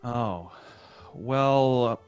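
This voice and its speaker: A man's voice: medium pitch, very slow, a little mellow, clear, resonant tone, highs cut off, soft background music. A man playing a writer's friend in an old-time radio play, puzzled and tentatively suggesting ideas. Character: writer's male friend brainstorming murder-plot fixes.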